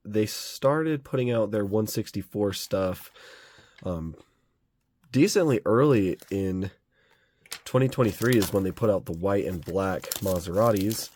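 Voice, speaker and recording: A young man talks through a model car review in a relaxed, rambling way, filling pauses with "um" and "like." His voice sits a little low and has a slight rasp from a cold. The recording is dry and close, with no room sound. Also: no room sound. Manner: relaxed, chatty, rambling with fillers. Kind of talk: casual product review.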